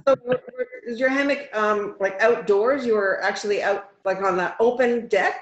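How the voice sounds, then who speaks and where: A woman in her fifties hosting a casual interview over a video call, upbeat and quick to laugh. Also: medium pitch. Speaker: host. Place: home room. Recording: roomy, dull video call audio.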